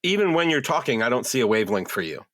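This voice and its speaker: Bright lively dude on a podcast, jokey, dry close mic. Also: male voice, medium pitch, fast, dry recording. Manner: loose, joking, swears casually. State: lingering cough. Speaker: podcast co-host. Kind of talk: casual podcast chat.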